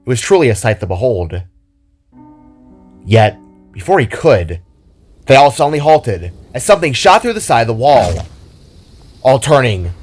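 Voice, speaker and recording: A man's voice: mid-range voice, darkish tone. Man narrating a dramatic story over music.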